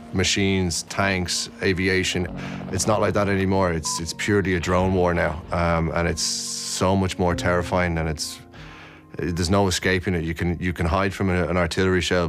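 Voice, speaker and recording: Irish male interviewee, low and flat in pitch, measured commentary. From Ireland. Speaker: filmmaker being interviewed.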